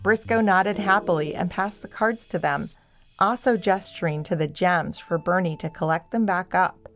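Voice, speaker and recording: Woman storyteller for a kids audio show, slightly low voice, expressive, soft music underneath. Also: moderate pace. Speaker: narrator. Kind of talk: scripted children's story narration.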